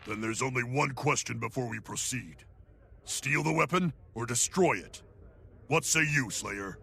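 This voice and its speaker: An actor playing a stern, proud military officer in a fantasy video game, with a gravelly voice and a forceful, commanding manner. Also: male voice, mid-range voice, measured pace, exaggerated voice. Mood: grim, resolute. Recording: game music behind the voice.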